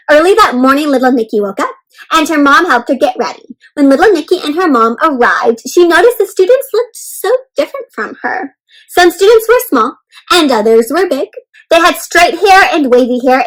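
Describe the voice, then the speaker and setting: High-pitched woman reading to young children. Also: moderate pace, rough recording. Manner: addresses young listeners with questions and commentary; expressive, encouraging teacher-like delivery. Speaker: children's read-aloud host. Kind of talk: interactive storybook read-aloud for young children.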